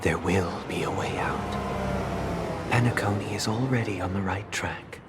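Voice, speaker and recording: An actor playing a composed, authoritative young man in a video game drama, speaking formally with measured, assured persuasion. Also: deep voice, very noisy, lightly acted. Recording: loud music under the voice.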